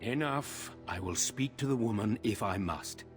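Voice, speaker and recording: An actor voicing a haughty, grumpy mage in a fantasy video game, curt, indignant and formal. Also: male voice, fairly low pitch, animated, measured pace, soft background music. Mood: irritated, disdainful.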